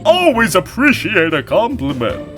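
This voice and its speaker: A man voicing a vain, pompous male lion who speaks in a grand, drawn-out, melodramatic way. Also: high-pitched, wildly swooping pitch, music in the background, voice pushed up, full character voice. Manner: grand, drawn-out, theatrical delivery.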